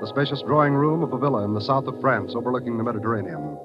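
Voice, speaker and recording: A man's voice: mid-range voice, rapid-fire. A man announcing and setting the scene for a 1940s radio drama in a theatrical, declamatory way. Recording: dull lo-fi old radio recording with music.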